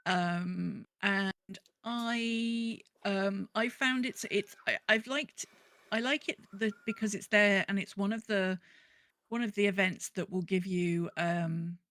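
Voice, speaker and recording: A woman's voice: mid-range voice, fairly even intonation, takes it slowly, a bit bright, no room sound. A woman co-hosting a podcast, chatting casually about an online game. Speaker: podcast co-host.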